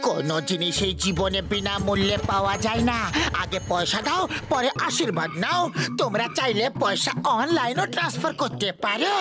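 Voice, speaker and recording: Sly, animated cartoon sidekick. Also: male voice, high-pitched, moderate pace. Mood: sly, haughty. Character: sly, mischievous cartoon friend, fast-talking male schemer. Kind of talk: Bengali cartoon dubbing. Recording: music behind the voice.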